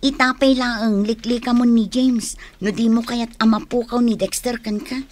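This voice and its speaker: An actress playing a prying, cautioning young best friend, with an Ilocano accent and admonishing manner in a radio drama. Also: female voice, on the high side, steady tone, moderate pace, darkish tone, a little hiss or hum, close to an everyday voice.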